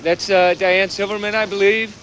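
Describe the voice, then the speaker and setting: High-voiced, shaken male actor as field reporter. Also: level tone, dull audio, natural voice. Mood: shaken, halting. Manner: halting, stammering. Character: shaken male field reporter, dazed after surviving a hostage ordeal. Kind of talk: live on-scene news report. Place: outdoors on a city dock. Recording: noisy outdoor live remote news feed.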